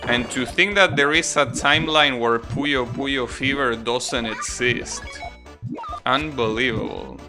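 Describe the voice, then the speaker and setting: A man's voice: medium pitch, takes it slowly. A man doing casual live game commentary, chatting with viewers in an opinionated, grumbling way with mild swearing. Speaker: game streamer. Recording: game music playing behind the voice. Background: video game music.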